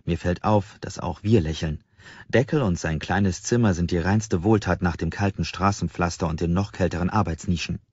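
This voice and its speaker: A man's voice: low voice, moderate pace, a little mellow, slightly rough. A man narrating a novel as an audiobook in a scripted storytelling style. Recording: slightly dull, roomy sound.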